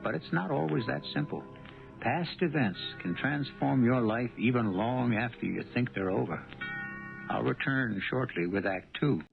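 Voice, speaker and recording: Gravelly male radio mystery host. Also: medium pitch, normal speed, phone-quality sound. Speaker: host and narrator. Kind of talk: scripted 1970s radio drama narration. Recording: band-limited 1970s radio recording. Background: music.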